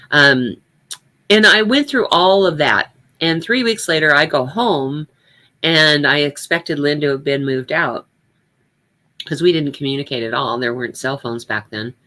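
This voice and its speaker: A woman's voice: rumbling low, pushed and bright. An older woman giving a reflective personal talk, speaking candidly and conversationally with emphasis on her points.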